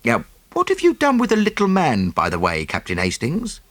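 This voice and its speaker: A man's voice: fairly high pitch, huge pitch swings, moderate pace, fairly bright tone, dry recording, voice pushed up, heavily acted. Man acting genial, swooping police inspector. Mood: teasing. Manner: soothing, jovial, matter-of-fact. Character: brisk, genial, teasing male Scotland Yard police inspector. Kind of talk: audiobook character acting.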